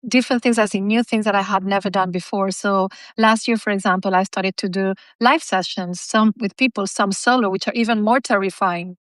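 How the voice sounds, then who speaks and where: A woman's voice: medium pitch, steady tone, a little fast, hardly any pauses, dry recording. A woman whose first language is Spanish, answering interview questions in an earnest, reflective way with some fillers. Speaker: podcast guest, business writer.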